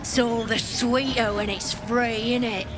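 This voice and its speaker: A woman's voice: a little high, fairly even intonation, unhurried, music in the background, close to an everyday voice. A woman playing a coarse, hard-drinking goblin raider in a video game, with an English working-class accent. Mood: matey, bragging. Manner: coarse, matey. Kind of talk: video game character acting.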